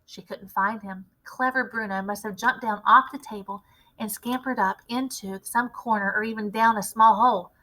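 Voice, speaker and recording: A woman reading a children's story aloud as an expressive storyteller. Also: mid-range voice, moderate pace, low-quality recording. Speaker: read-aloud storyteller, teacher.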